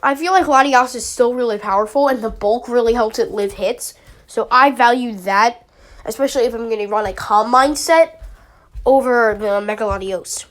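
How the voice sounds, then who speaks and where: Young woman with a stuffy cold, somewhat high pitch, loose chatty gamer commentary. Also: moderate pace. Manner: chatty, rambling, with many fillers. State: sniffly with a summer cold. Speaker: YouTuber analyzing a draft league team. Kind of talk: casual commentary.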